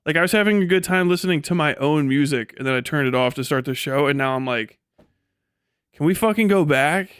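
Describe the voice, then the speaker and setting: A man's voice: a little high, brisk, fairly bright tone, clear, resonant tone, dry recording, clean studio sound. A man in his late thirties hosting a casual livestream, rambling and swearing freely with a self-deprecating manner. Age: late 30s. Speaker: livestream host.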